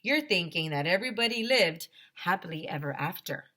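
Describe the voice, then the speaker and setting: Lady teacher reading a kids book out loud, bright punchy voice, animated and emphatic. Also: lowish voice, moderate pace. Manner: animated, emphatic, talks directly to young listeners. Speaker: teacher reading aloud to children. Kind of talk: expressive children's storybook read-aloud.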